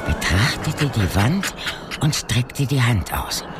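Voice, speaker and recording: A man playing a deliberate narrator in a fantasy radio drama, reading scripted prose. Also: mid-range voice, big pitch swings. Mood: storytelling. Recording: music behind the voice.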